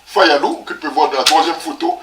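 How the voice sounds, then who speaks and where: A man's voice: fairly high pitch, steady tone, fairly quick, roomy sound, far from the mic, crowd noise. A man born in Congo, co-hosting a community talk show and explaining the news with many hesitations. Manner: explanatory, with frequent "hein" and hesitations. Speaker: co-host. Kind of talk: conversational current-affairs talk show.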